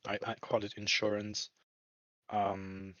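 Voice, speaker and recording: A man with a fairly low voice talks casually and haltingly, often searching for his words. The recording is slightly muffled and lo-fi, with the sound of the room around him. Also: monotone, pushed and bright, dry recording. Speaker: employee talking about a work contract. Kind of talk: casual conversation.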